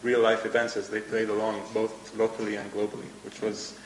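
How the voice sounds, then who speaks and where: Halting low-voiced Egyptian man, Arabic native. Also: monotone, a little hiss or hum. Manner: halting, repeats words. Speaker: guest cartoonist. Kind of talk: live event interview. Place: event hall with audience. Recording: echoey room with faint ambience.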